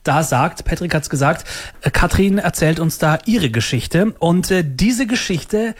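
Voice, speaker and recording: A man from southwest Germany co-hosting a talk podcast, chatty and joking with quick asides. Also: on the high side, lively intonation. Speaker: podcast co-host. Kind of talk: casual chat.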